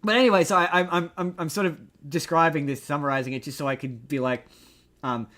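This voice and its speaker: Excitable, higher-pitched rambling male podcaster. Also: fast. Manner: excitable, rambling, stammering, emphatic; casual swearing. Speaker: podcast co-host. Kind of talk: casual pop-culture chat and ranting.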